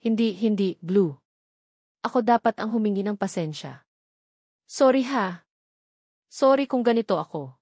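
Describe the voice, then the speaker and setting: A woman's voice: medium pitch, a little slow, long pauses, a little mellow, no room sound, studio quality. A woman with a Filipino accent voicing a remorseful, loving young wife in a romance audiobook, tender and apologetic. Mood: tearful, apologetic.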